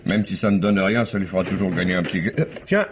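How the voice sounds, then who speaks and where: A veteran-style police chief in a vintage radio mystery. His voice is low, his manner brisk and wry as he questions people. The recording is muffled, with some hiss. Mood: wry, familiar. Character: wry, businesslike male police commissioner questioning witnesses. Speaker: actor. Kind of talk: 1950s French radio drama acting.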